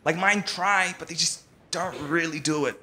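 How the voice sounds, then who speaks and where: A man's voice: a little high, moderate pace, bright, forceful tone. A man from the UK doing stand-up comedy in a conversational, filler-heavy way, from a live clip with crowd noise. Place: theatre stage. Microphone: handheld mic.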